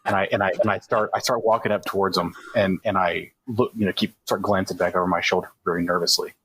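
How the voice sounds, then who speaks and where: A man's voice: sits low, steady tone, quick pace, a bit bright, a little hiss or hum, poor audio. A man playing a tabletop game, describing his character's moves step by step in the first person.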